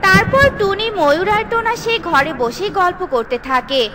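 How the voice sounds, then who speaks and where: A woman's voice: very high-pitched, lively intonation, unhurried, very noisy. A woman reading scripted storybook narration for a children's cartoon.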